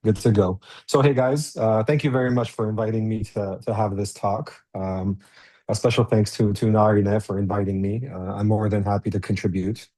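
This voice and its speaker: Fast-talking American man, low and mellow. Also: fairly even intonation. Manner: fast, straightforward, chatty delivery. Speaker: guest speaker. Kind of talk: online business presentation.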